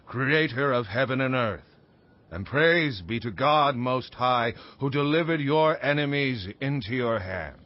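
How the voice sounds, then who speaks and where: A man's voice: mid-range voice, expressive, unhurried, natural voice. A man playing a solemn priest-king in a dramatized audio Bible, with a reverent, ceremonial delivery. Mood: reverent, exalting. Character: Melchizedek, solemn male priest-king giving blessing. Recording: dull, band-limited stream.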